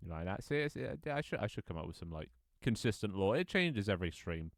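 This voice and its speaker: Deep-voiced man in his twenties. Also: big pitch swings, a little fast, dry recording, studio quality. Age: 20s. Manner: rambling, lots of ums and restarts, reads chat aloud. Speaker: game developer streaming his game. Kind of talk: casual live-stream chat.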